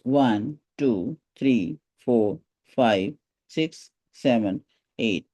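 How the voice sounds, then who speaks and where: This is a meditation guide with a soft, mellow voice at a middle pitch. The delivery is extremely slow and gentle, with short instructions separated by pauses. It sounds like a guided relaxation session. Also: expressive. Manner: gentle, unhurried, pausing between short phrases.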